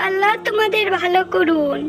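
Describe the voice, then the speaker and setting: A woman's voice: very high voice, a little fast, loud music behind the voice. A woman voicing a helpless, grateful old beggar grandmother who gives blessings in a cartoon. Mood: tearful gratitude. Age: older. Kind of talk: scripted cartoon dialogue.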